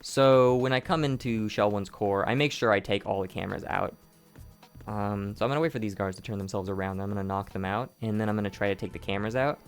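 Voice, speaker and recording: A hoarse man with a fairly low voice talks through his stealth-game speedrun in a loose, explanatory way. He stumbles and restarts his phrases as he goes, and faint game music plays under him. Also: quick pace. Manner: explanatory, rambling, with frequent restarts and profanity. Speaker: gameplay commentator. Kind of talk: casual speedrun commentary.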